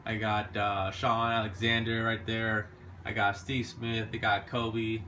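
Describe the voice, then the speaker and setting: A man shows off his bedroom in a slangy, boastful, laid-back way. His voice is bright and forceful, recorded on a handheld phone. A faint room noise hums behind him. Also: lowish voice, completely flat delivery, measured pace. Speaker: vlogger giving a room tour. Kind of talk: casual filmed room tour. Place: small bedroom at home, indoors. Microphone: handheld phone camera.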